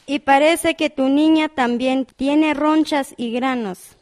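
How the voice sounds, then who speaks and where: A woman with a Guatemalan accent acting a polite, matter-of-fact doctor in an amateur radio drama, speaking formally and deliberately. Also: sits high, steady tone, darkish tone. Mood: polite, brisk. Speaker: actor in community radio drama.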